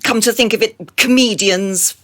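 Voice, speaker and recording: A woman's voice: on the high side, moderate pace, lightly acted. A woman playing a haughty, callous society madam in a satirical radio drama, theatrical and imperious in manner.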